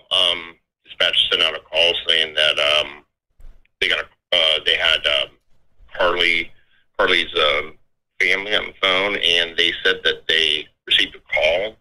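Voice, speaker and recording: A low-voiced man with a very sharp, forward tone gives an informal account of what he has heard. He talks haltingly, restarting and repeating phrases as he goes. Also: fairly even intonation, rough recording. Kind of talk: informal spoken account of scanner reports.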